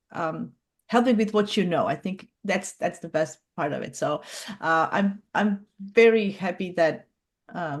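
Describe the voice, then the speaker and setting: A middle-aged woman from Croatia, hosting a casual group video call on astrology and talking haltingly, with many fillers and restarts as she searches for words. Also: mid-range voice.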